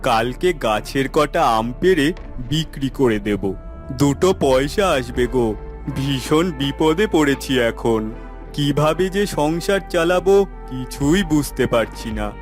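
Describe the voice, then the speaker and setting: Cartoon village husband, male actor: lively swings in pitch, earnest, music behind. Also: takes it slowly. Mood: worried. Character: worried but supportive poor village husband.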